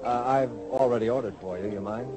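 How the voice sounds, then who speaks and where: An actor playing a courteous, scheming professor in a radio soap opera, speaking formally and deliberately. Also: male voice, medium pitch, lightly acted. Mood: courtly, flirtatious. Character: suave scheming male professor, courtly and measured. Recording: old radio recording, organ music behind.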